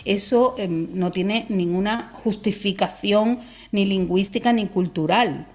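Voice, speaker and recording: Female expert guest, mid-pitched, measured explainer with conversational tag questions. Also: a little mellow. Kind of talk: conversational explanation on a talk show.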